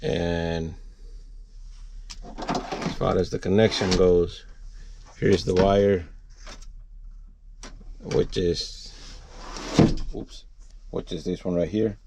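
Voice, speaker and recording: Low-voiced man over loud vehicle noise. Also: steady tone, extremely slow, creaky, pauses often. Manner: rambling, thinking out loud. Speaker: vlogger showing a DIY truck installation. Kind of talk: casual unscripted how-to narration.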